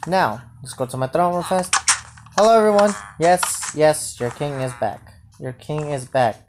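A woman playing a bossy, easily startled king in an improvised game roleplay, haltingly repeating phrases. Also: low-pitched. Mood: commanding. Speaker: video creator playing a roleplay. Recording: noisy home mic with clicks.

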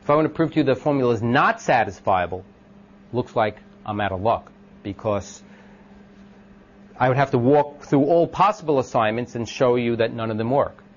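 Loud-voiced male lecturer for an informal class talk, mid pitch, muffled old recording. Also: animated, moderate pace. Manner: thinks aloud with many ums and restarts, asks the class questions.

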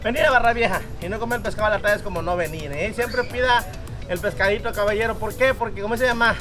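A man's voice: sits high, fast. A man in his thirties with a Guerrero coast Mexican accent, casually demonstrating cooking as a restaurant cook, often tagging phrases with little questions. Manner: repetitive, punctuated with "eh?" tags and rhetorical questions. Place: open-air beach restaurant and its kitchen. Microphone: camera a few steps away. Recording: roomy, distant camera mic, music underneath.

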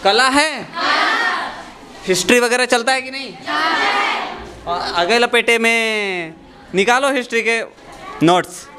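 A young man hosts a light-hearted quiz in a large school classroom. He speaks into a handheld microphone in a chatty, teasing way, putting questions to those around him. A crowd of students can clearly be heard behind his voice. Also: extremely high pitch, slow, long pauses, heavy background noise. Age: young adult. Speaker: quiz host. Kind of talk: playful classroom quiz interview.

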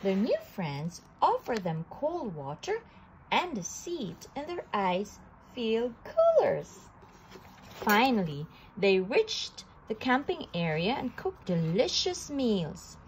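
Slow, lively woman reading a storybook. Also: fairly high pitch, slight background noise, rough recording. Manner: slow, animated storytelling with occasional restarts. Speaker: storybook reader. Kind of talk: children's read-aloud.

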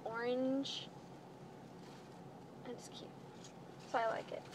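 A woman's voice: on the high side, flat delivery, takes it slowly. A teenage girl chatting casually to the camera as a vlogger, recorded inside a car. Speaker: vlogger showing a clothing haul. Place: inside a parked minivan. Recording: noisy phone-style recording inside a car.

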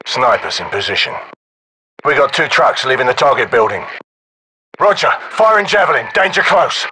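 A crisp, forceful sniper spotter. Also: male voice, mid-range voice, big pitch swings, normal speed, exaggerated voice, poor audio. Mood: cool, focused. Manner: clipped, matter-of-fact. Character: cool, methodical special forces sniper on overwatch. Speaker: sniper overwatch. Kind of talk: military game radio reports.

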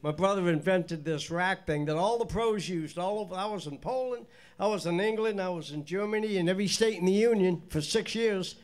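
A man's voice: high-pitched, fairly quick. An older man with a cold and a stuffed-up nose, hosting a live country music show in casual, rambling, folksy chat at a stand mic on a small stage. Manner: rambling, chatty, folksy with restarts. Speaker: bandleader and show host. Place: small indoor stage studio.

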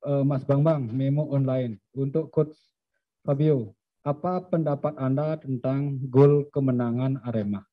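A man moderating a press conference, briskly reading out reporters' names and their questions. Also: medium pitch, fairly even intonation. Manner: brisk, announcing names and outlets. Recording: dry, dull lo-fi stream audio.

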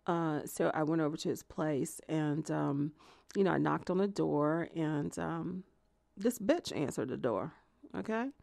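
A woman's voice: low voice, a little slow, creaky, darkish tone, no echo, clean studio sound. A woman playing a jilted, obsessive lover in an audio theatre monologue, confessing in a hesitant, conversational ramble with self-justifying, indignant edges. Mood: casual, confiding. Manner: hesitant, filler-strewn rambling that flares into swearing indignation.

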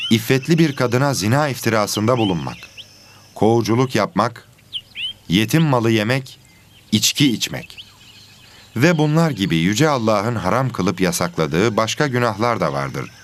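A man playing a patient religion teacher giving a scripted, deliberate lesson in an educational cartoon. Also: on the low side, sing-song, a little hiss or hum. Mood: solemn teaching. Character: patient male religion teacher of animal pupils.

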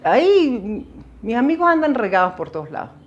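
A woman's voice: on the high side, big pitch swings, moderate pace, lo-fi sound. A middle-aged woman with a Venezuelan accent talking casually and reflectively to viewers, often digressing as she goes. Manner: conversational, digressive, addresses viewers directly. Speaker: video host sharing migration experience. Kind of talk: casual, reflective monologue to camera. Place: small indoor setup with dark backdrop.

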